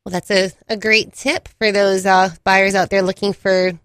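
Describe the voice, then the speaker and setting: A woman co-hosting a talk show, asking questions and reading guest introductions in a friendly, steady way. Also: medium pitch, normal speed, clean tone, no room sound. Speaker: show co-host.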